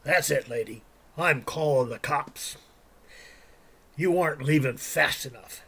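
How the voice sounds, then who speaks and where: Man playing a gravelly, mean bully. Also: a little high, measured pace, pushed and bright, exaggerated voice. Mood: stern, threatening. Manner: stern, scornful, bullying. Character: mean, threatening man chasing off a vagrant. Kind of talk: audio drama acting.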